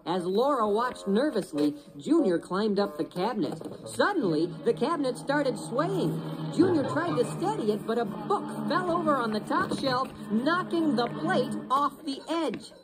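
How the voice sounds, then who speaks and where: Female storyteller for kids, fairly high and animated, suspenseful delivery, clear music bed. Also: measured pace, rough recording. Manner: expressive, suspenseful. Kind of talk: dramatic children's story narration.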